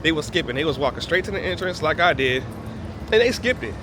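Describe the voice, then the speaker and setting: A man vlogging on a city street with a phone, talking in an amused, animated, joking way. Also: a little high, fast. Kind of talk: on-location vlog commentary. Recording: outdoor street noise with loud music.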